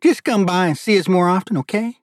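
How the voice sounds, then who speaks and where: A man voicing a warm, excitable middle-aged father who runs a restaurant, speaking heartily with a thick accent in an audiobook. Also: sits high, brisk, dry recording, studio quality, voice pushed up, natural voice. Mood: warm, hearty.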